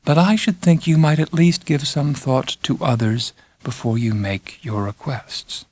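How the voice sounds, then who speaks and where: Man voicing a coldly calm, condescending head nurse. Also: medium pitch, unhurried, dry recording, lightly acted. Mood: reproving. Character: formidable middle-aged female head nurse, coldly pleasant, controlling. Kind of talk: audiobook character acting.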